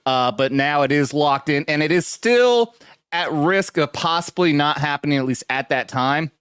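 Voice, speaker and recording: A man co-hosting a loose livestream, his voice sitting a little high. He swears freely, rambles and laughs a lot. The mic sound is dry and close. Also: expressive, normal speed, a bit bright, smooth, no echo. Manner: profane, rambling, laughs often. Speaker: co-host. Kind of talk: casual crude banter.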